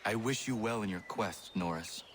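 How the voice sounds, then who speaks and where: An actor playing a calm, earnest young male assassin in a video game, with measured, formal speech. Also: on the low side, a little hiss or hum, lightly acted. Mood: calm, polite. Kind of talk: video game cutscene acting.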